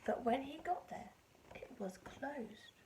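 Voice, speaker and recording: Casting: female storyteller for young children, expressive, slow-paced, with lively swooping intonation. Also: sits high, slight background noise. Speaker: teacher reading aloud.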